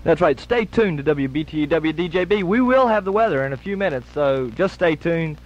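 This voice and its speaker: A man's voice: fairly high pitch, lively intonation, fairly quick, a little hiss or hum. A man working as a radio DJ, announcing songs, times and station identification live on air. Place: radio studio. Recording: dull lo-fi 1980s radio aircheck tape. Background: music.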